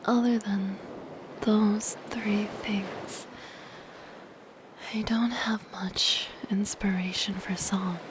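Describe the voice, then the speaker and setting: A woman voicing a lonely, curious, softly menacing siren in a breathy, whispered, gently lilting ASMR roleplay. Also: medium pitch, steady tone, very slow, pauses often, noisy background. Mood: wistful, fascinated. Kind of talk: soft-spoken ASMR roleplay.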